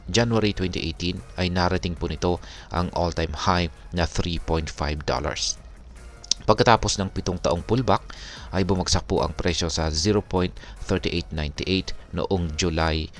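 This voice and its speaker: A Filipino man hosting an explanatory video, speaking in a polite, measured, formal way. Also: low-pitched. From Philippines. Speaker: crypto video host. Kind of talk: explanatory narration over charts.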